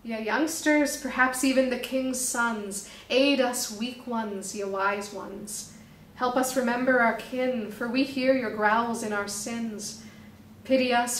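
A woman's voice: a little high, slight background noise. Slick homeless snake poet, played by a woman, grand rhyming plea, echoey and distant. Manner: grandiose, pleading, rhyming recital. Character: slick male snake vagrant busker poet.

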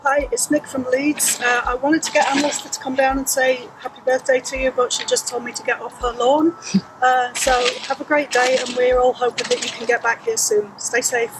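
A woman with a high voice jokes around cheerfully as she sends her greeting. Music plays clearly under her voice. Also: moderate pace, low-quality recording. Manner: jokey, upbeat. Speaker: fan sending birthday wishes. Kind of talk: casual video greeting.

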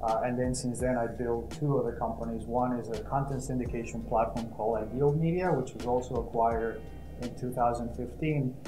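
A man's voice: medium pitch, fairly even intonation, normal speed, noisy background. Man in a roomy office. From Colombia. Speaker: guest entrepreneur. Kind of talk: interview answers. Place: glass-walled office, indoors. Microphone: lapel mic.

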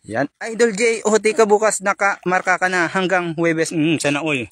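A young man chatting on a phone live stream at night. He is outdoors on a seawall, and he greets viewers by name and laughs often. His voice sits a little high, with dry, close sound. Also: fairly even intonation, moderate pace, fairly bright tone, no echo. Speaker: fishing vlogger doing a live stream. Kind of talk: casual live-stream commentary.

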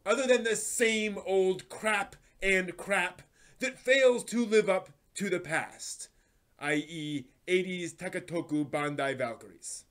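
A man's voice: high-pitched, a bit bright. A middle-aged man giving an exaggerated, indignant dramatic reading with heavy emphasis. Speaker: host reading old forum posts. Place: home room lined with bookshelves.

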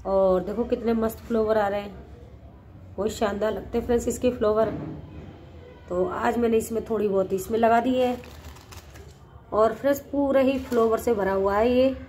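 Middle-aged woman, hoarse from a cold, chatty gardening vlog host, phone at arm's length, rooftop outdoors, faint background noise. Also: on the high side, fairly even intonation, normal speed, warm tone. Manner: chatty, repeats "friends" often. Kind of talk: casual vlog talk to viewers. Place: home rooftop terrace with plants, outdoors. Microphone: phone held at arm's length.

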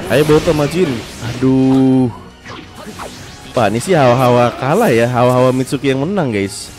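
Young male gaming commentator, stuffy nose, excitable and slangy, mid-pitched, game music loud underneath. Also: normal speed. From Indonesia. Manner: excitable, slangy, addresses viewers constantly. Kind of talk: casual gameplay commentary.

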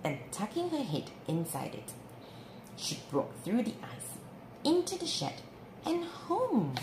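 Slow, expressive woman storyteller. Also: fairly high pitch. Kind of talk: children's story read-aloud. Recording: roomy with clear background noise.

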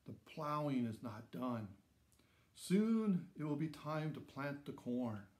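A weary village farmer from a children's storybook, acted out by a man reading aloud. He talks slowly in short, plain statements. The sound is roomy and echoing. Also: medium pitch, lively intonation, darkish tone. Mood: weary, resigned. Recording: roomy echo around the voice.

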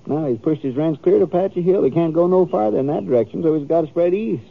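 Stubborn, pleading American homesteader, highish creaky voice, stammering, tinny vintage radio Western. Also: male voice, fast talker. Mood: indignant. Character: anxious, stubborn family farmer of the Old West. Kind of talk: 1950s Western radio drama acting. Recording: thin, lo-fi old radio recording.

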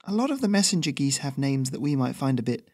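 A cocky, cheerful postman with a much higher, louder voice that bounces all over. A man puts him on while narrating a storybook tale. He teases and jokes in a chummy, carefree way. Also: big pitch swings, quick pace. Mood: breezy. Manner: chummy, teasing and upbeat. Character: breezy, cocky male goose-riding postman.

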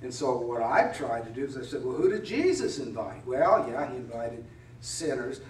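Middle-aged pastor preaching in an echoey church. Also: male voice, mid-range voice, big pitch swings, moderate pace, slight background noise. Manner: conversational, wry preaching with rhetorical questions. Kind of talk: sermon. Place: church sanctuary. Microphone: mic at a distance on a pulpit stand. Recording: roomy church echo from a distance.

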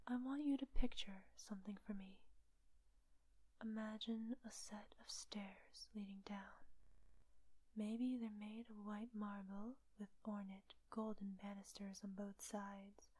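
A woman's voice: medium pitch, no echo, poor audio. A woman playing a coaxing, manipulative villain captor, whispering soothing hypnosis instructions in an ASMR roleplay. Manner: hushed, breathy whispering, slow, coaxing instructions with long pauses.

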